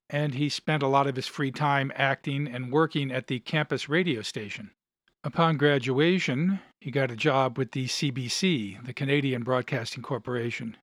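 A man hosting a show, giving scripted narration and commentary on an old radio drama in a measured, explanatory way. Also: medium pitch, no echo, clean studio sound. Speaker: podcast host.

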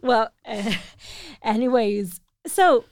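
A woman in her thirties with an Italian accent, whose first language is Italian, telling a story in a casual conversation, animated and laughing often. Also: a little high, slow pace, no echo, studio quality. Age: 30s.

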